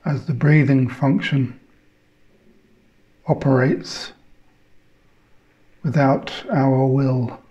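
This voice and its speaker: Man, guided meditation, very unhurried, dark relaxed timbre, short phrases, long pauses, slightly muffled recording. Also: medium pitch.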